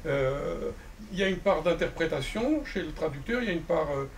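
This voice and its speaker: A man who works as a literary translator, speaking at a bookshop panel in a measured, reflective way with frequent restarts. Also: on the high side, lively intonation. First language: not Romanian. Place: bookshop event. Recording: distant mic with faint ambient noise.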